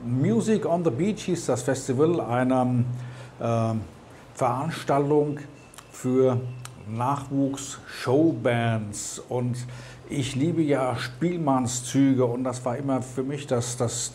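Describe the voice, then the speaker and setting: A man's voice: mid-range voice, mellow, a little hiss or hum. A man in his sixties from Germany chatting and explaining as he hosts a casual travel vlog. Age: 60s. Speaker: vlog host.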